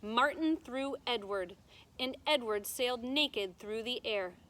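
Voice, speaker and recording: Bright-voiced woman reading to children. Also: on the high side. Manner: deliberate, expressive, explains words to children. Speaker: teacher reading to students. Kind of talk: children's storybook read-aloud.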